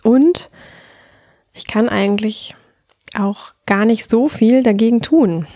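Soft-spoken woman with a nasal cold. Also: fairly high pitch, slow pace, no room sound, studio quality. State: has a cold, slightly nasal. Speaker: podcast host and sexual life coach. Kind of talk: solo podcast talk.

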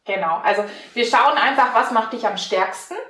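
Chatty Franconian woman, high voice. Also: normal speed, pushed and bright, reverberant. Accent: Franconian German. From Franconia, Germany. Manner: chatty, laughs often, many fillers. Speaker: mental coach and riding technique trainer. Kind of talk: casual interview answers.

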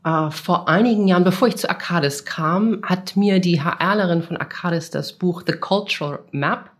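A woman's voice: lowish voice, moderate pace. A woman in her forties from Germany, answering interview questions conversationally, reflecting and feeling for her phrasing. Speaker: interview guest, international manager.

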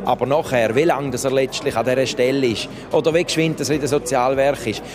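A man with a Swiss accent making a brisk, insistent political statement outdoors in a town. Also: mid-range voice, fairly even intonation, a crowd in the background.

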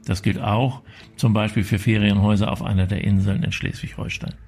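A man from Berlin reading a measured, earnest radio commentary. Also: fairly low pitch, fairly even intonation, darkish tone, husky. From Berlin, Germany.

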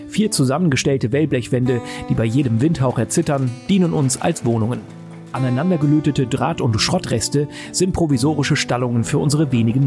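A man's voice: medium pitch, natural voice. A man playing a weary, bleak mercenary survivor, narrating an audio drama in a brooding, literary way. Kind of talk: scripted first-person dramatic narration. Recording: voice over game music. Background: guitar music and game sound effects.

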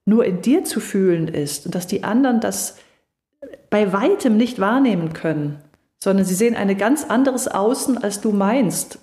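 A middle-aged woman coaching on voice and stage fright, explaining calmly and reassuringly in a podcast conversation. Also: mid-range voice, normal speed. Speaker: voice and charisma coach. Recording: echoey room.

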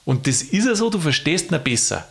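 A man, chatting casually as a show host and rising in pitch for emphasis. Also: sing-song, brisk, creaky. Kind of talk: casual chat.

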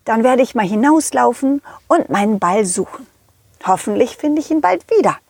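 A confident, kindly storyteller dog, played by a woman in a children's audio play. The voice is fairly high and husky with lively swings. It talks haltingly and chattily, straight to the children. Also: no room sound. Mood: earnest, kindly. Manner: chatty, empathetic, halting, addresses children directly.

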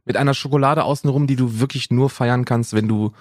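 A man's voice: medium pitch, fairly even intonation, a little fast, a little mellow, dry recording. A man co-hosting a podcast in casual chat, rambling with many fillers and restarts, recorded over a remote call. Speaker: podcast co-host.

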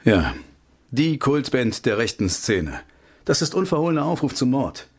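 A man's voice: medium pitch, very animated, moderate pace, slightly rough. An actor playing an investigative researcher in a radio play, terse, urgent and matter-of-fact as he lays out facts. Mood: urgent, insistent. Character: male investigative researcher briefing a contact, urgent and knowing. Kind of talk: radio drama dialogue.

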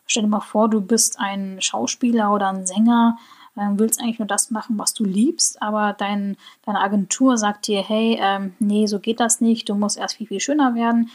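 Breathy German woman podcasting. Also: medium pitch. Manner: reflective, opinionated, slows down on key points. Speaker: podcast host. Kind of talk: solo podcast commentary.

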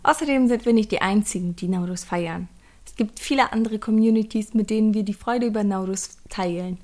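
A woman hosts a podcast at a mid-range pitch. She talks in an upbeat, chatty way, keeping things relaxed and conversational as she introduces the episode and her guest. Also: fairly quick. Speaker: podcast host. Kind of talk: casual podcast hosting.

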